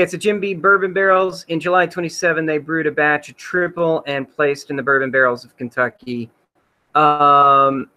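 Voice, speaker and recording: A man's voice: on the high side, bright, forceful tone. A man hosting a casual livestream, rambling with many fillers while he reads out chat comments. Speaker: host.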